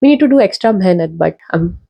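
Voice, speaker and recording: A young woman with an Indian English accent makes an earnest, casual video essay to camera, with fillers and pauses as she urges viewers. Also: on the low side, expressive, creaky, warm tone, slight background noise. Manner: earnest, persuasive, many fillers. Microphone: talking to camera.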